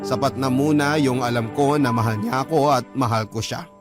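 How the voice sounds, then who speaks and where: Man acting earnest Filipino confessor over loud music. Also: mid-range voice, fairly even intonation, normal speed, close to an everyday voice. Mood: confused, earnest confession. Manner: confessional, heartfelt. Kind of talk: dramatised letter narration, radio storytelling.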